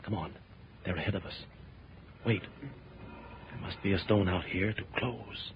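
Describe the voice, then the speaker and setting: An actor playing a calm, fearless jungle hero in a 1930s radio serial, giving terse, commanding lines. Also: male voice, lowish voice, a little slow, lightly acted. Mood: hushed, urgent. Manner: terse, clipped orders. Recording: muffled, noisy 1930s radio recording.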